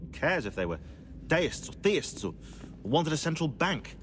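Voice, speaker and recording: An actor playing a sardonic, opinionated historian in a video game, speaking in a dry, indignant, emphatic way. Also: male voice, a little high, big pitch swings, measured pace, fairly bright tone, a little hiss or hum. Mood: scornful, indignant. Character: sardonic, opinionated historian ally, scornful of politicians. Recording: music behind the voice.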